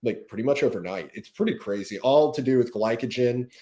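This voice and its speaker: A man in his twenties talking as a podcast guest, candid and animated. He fires off quick asides and keeps a casual, chatty rhythm. His voice sits at a plain middle pitch. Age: 20s.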